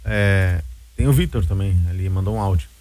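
A man's voice: low-pitched, a little fast, darkish tone, no room sound. A man with a Brazilian accent co-hosting a radio show, reading out listener messages in a casual way. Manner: casual, reads messages aloud. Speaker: radio co-host.

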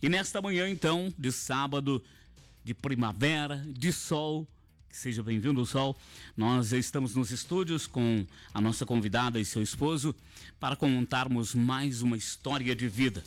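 Man, radio host, unhurried, repeats himself, studio. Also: mid-range voice, soft background music. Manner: unhurried, repeats phrases and numbers. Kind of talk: live radio interview.

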